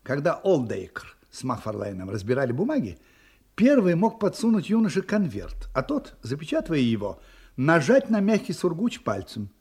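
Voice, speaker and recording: An actor playing a brilliant, playful detective in a Russian audio drama, polite yet commanding and theatrically emphatic. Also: male voice, a little high, wildly swooping pitch, moderate pace, a little mellow, breathy edge, exaggerated voice. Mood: smug, explanatory.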